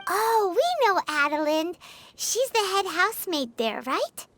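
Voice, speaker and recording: A woman's voice: extremely high pitch, measured pace, no echo, exaggerated voice. A woman voicing a tiny, childlike fairy companion in an anime-style video game, chirpy, cheeky and eager. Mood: puzzled. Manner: refers to self in third person, excitable.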